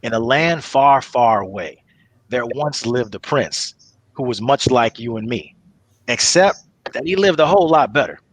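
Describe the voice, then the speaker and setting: A man's voice: medium pitch, expressive, measured pace, dry recording. A man hosting and narrating a script reading in a casual, conversational way. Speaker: host and narrator.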